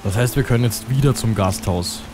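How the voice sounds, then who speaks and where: A man with a cold rambles through casual gameplay commentary, often muttering to himself. Loud game music plays behind his voice. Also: medium pitch, brisk. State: has a cold. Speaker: let's play commentator.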